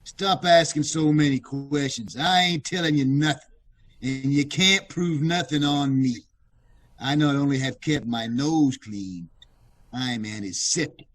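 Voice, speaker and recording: A man's voice: mid-range voice, measured pace, natural voice. A man playing a fussy, cowardly germ-phobic gangster in an old-time radio comedy-mystery, with indignant tough-guy slang. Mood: huffy, defiant.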